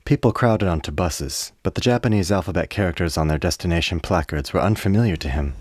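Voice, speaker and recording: A man narrating a radio play as a scripted story, with a measured, literary delivery. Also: sits low, a little mellow, slight background noise.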